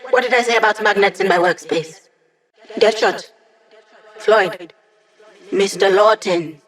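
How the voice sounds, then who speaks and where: A quirky young hacker from a video game, a digital being with an upbeat streak. She speaks in a mid-range female voice, chatty and playful, with a wry edge to her delivery. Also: mid-range voice. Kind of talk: video game character dialogue.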